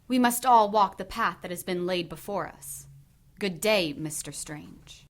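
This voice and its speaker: A woman playing a dignified, stern high priestess of witches, formal and measured, in an audio drama. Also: lightly acted. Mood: stern dignity.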